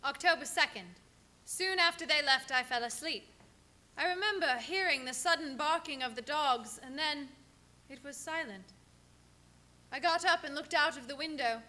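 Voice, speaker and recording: Woman playing haunted young wife, high bright voice. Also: normal speed. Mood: eerie, suspenseful. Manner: dramatic, suspenseful storytelling. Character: haunted, entranced young wife writing a journal. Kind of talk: live radio play acting.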